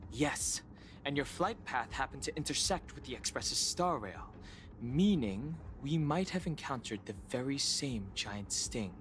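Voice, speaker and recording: A man playing a studious young man who explains things in a calm, dry, matter-of-fact way in a video game. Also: medium pitch, unhurried, slight background noise. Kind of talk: anime-style video game voice acting.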